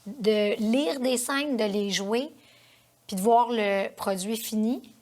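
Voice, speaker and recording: A woman's voice: on the high side, takes it slowly, darkish tone. A woman with a Quebec French accent interviewing a guest as host of a TV show, in a conversational studio style.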